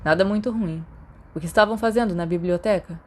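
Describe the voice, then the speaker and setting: A woman's voice: fairly low pitch, brisk, slight background noise, close to an everyday voice. Woman reading a wary, sceptical teenage schoolboy. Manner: blunt, wary. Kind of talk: audiobook character dialogue.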